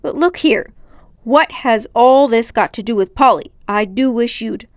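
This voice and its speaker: A woman's voice: fairly high pitch, unhurried, no echo, studio quality. A woman voicing an indignant, worried, outspoken young boy in a storybook, insistent and questioning. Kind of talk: storybook character voice.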